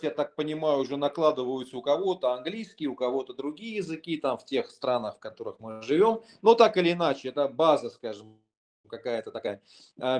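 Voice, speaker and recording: A man's voice: on the high side, a little mellow. A man, a lawyer, explaining his view in a conversational discussion, thoughtful and full of hesitations and restarts.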